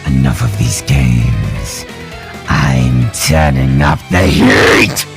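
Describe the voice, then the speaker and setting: A man playing a menacing flaming supervillain in an audio drama, taunting in a slow, theatrical growl. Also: big pitch swings, soft background music, exaggerated voice. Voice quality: very deep gravelly growl.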